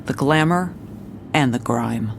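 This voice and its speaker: A woman's voice: very deep voice, slow, gravelly, background noise, heavily acted. A woman playing a tough, seasoned police commissioner in a noir audio drama, terse, world-weary and commanding. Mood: brooding. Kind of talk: noir scripted narration and drama.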